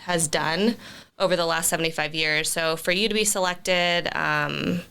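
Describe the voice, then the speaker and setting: A woman's voice: deep voice, fairly even intonation, normal speed, gravelly, bright, forceful tone, clear, resonant tone. A woman co-hosting a podcast in casual chat, upbeat and supportive. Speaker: podcast co-host, public affairs officer.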